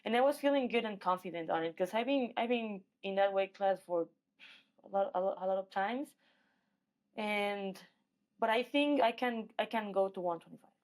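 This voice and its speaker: A woman's voice: medium pitch, normal speed, a little mellow. A woman from Mexico with a Mexican accent, talking casually as an athlete being interviewed. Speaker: guest, professional MMA fighter.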